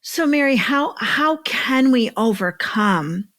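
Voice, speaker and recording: A woman's voice: sits high, expressive, slow pace, fairly bright tone. A woman from upstate New York hosting a faith-based podcast, asking questions in an encouraging and emotional way. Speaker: podcast host.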